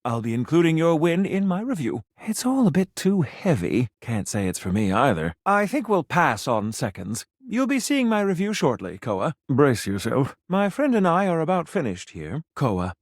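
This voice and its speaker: A man's voice: on the high side, big pitch swings, moderate pace, hardly any pauses, no room sound, clean studio sound. A man voicing a pompous, flirtatious food critic in a video game, speaking in florid, theatrical phrases sprinkled with French. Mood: disdainful, critical. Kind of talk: scripted video game character dialogue.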